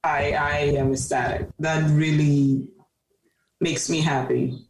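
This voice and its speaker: A woman's voice: sits low, fairly even intonation, slow pace, creaky, a little mellow, far from the mic, slight background noise. A woman in her thirties, a podcast guest telling her story in an earnest, reflective way. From Cameroon. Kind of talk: casual conversation. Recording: roomy, off-mic sound.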